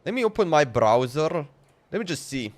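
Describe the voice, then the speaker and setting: A man's voice: fairly high pitch, big pitch swings, normal speed. A man live-streaming a game with casual, rambling, repetitive reaction commentary. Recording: game music behind the voice.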